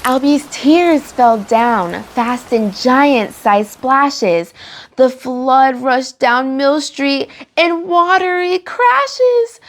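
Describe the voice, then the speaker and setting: A woman reading a picture book aloud for children, with animated, dramatic delivery and drawn-out emphasis on key words. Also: on the high side. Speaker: children's storytime reader and host. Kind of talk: expressive picture-book read-aloud for kids.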